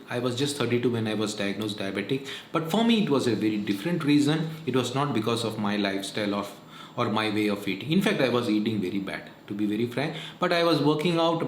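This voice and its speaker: A man's voice: medium pitch, animated, fast talker. A man, giving earnest, repetitive health advice in a casual vlog monologue. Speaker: vlogger. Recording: echoey room, faint noise.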